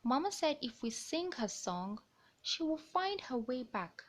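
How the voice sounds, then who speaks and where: A woman's voice: high-pitched, big pitch swings, unhurried, pitched above the performer's own voice, exaggerated voice. A woman voicing brave, earnest birds from a children's storybook family, in a simple delivery. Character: brave, caring female bird family, storybook characters.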